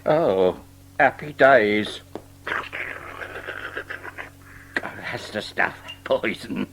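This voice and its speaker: An actor playing an obsequious, rum-loving old rat in an audio drama, with a rough husky voice and uneducated, wheedling speech. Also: male voice, fairly low pitch, animated, slow, background noise. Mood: relishing. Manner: wheedling, uneducated turns of phrase.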